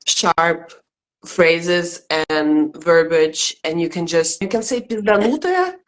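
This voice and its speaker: A woman's voice: on the low side, lively intonation, takes it slowly, low-quality recording. A young woman comedian with a Russian accent, chatting casually as an interview guest with dry, irreverent humor. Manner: dry, irreverent, joking asides.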